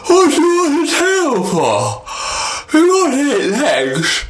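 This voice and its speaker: A man's voice: very high voice, wildly swooping pitch, slow, roomy sound, pitched above the performer's own voice, full character voice. A man voicing a lazy, yawning male sky king in a storybook tale, drawling and dismissive.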